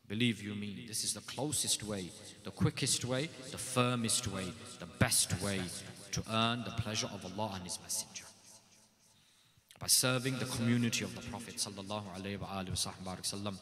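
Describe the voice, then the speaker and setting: A man with a low-pitched yet bright, forceful voice gives a devotional address to a gathering. He speaks emphatically and repeats short phrases for weight. His voice rings with the long reverb of a large hall. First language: not Urdu. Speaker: speaker introducing scholars at a religious gathering.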